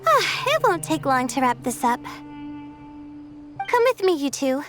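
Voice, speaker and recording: Young game heroine: very high voice, nervous, sleepy scholar, earnest, hesitant delivery. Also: female voice, sing-song, a little slow, over music. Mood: calm, assured. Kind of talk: video game voice acting.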